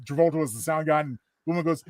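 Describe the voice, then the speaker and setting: A man's voice: a little high, racing, darkish tone, no echo. A man chatting casually as a panelist on a show. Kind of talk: casual chat.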